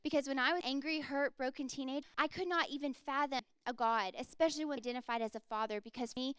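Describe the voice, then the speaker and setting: Impassioned high-voiced woman preaching. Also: clean tone, dry recording. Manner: earnest, impassioned. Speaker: speaker. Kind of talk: sermon.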